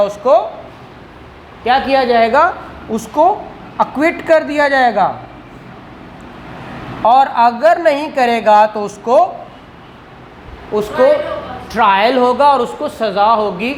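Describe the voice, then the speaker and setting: A man from Pakistan giving a law lecture, who asks questions and answers them himself and mixes in English legal terms. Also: very high voice. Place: room with a whiteboard. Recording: roomy echo with faint background noise.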